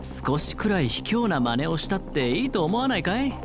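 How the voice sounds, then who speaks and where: A man's voice: high voice, very animated, rapid-fire, over music. An actor playing a sly young male schemer in a game, in a stylised voice-acting delivery. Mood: sly, coaxing.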